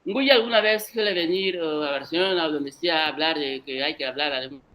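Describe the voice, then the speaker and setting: A man's voice: on the high side, a little fast, sharp tone. A man from Equatorial Guinea, whose first language is an African one, gives an unscripted lecture at a cultural conference, arguing his points emphatically and speaking straight to the audience. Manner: emphatic, argumentative, addresses the audience directly.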